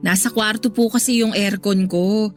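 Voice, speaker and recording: A woman's voice: mid-range voice, steady tone, normal speed, lightly acted. A woman playing a patient, reluctant young goddaughter with a Filipino accent, speaking respectfully and with restraint in a radio drama. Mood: polite, reluctant. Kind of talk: Tagalog radio drama acting. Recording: music behind the voice.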